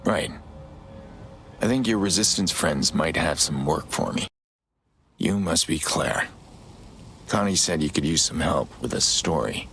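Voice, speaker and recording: An actor playing a gruff middle-aged vigilante hacker in a video game, terse and deadpan, with a gravelly voice. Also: male voice, measured pace, pauses often, a little hiss or hum, full character voice. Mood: weary, serious. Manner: terse, low-key, deadpan. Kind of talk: video game dramatic acting.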